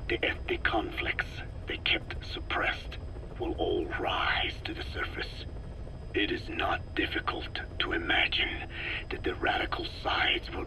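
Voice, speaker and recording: An actor playing a very old, solemn, guilt-ridden scientist, speaking gravely and deliberately in a breathy, gravelly voice for a video game. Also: male voice, low-pitched, poor audio. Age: older. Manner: grave, deliberate, measured storytelling. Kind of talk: dramatic video game monologue.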